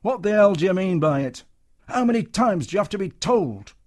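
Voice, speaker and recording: A bullying music-hall comedian, put on by a male storyteller, loud and pitched well up. He hectors and wheedles, brash and blustering. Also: very animated, moderate pace. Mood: hectoring. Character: blustering London comedian, troupe leader, bullying then wheedling.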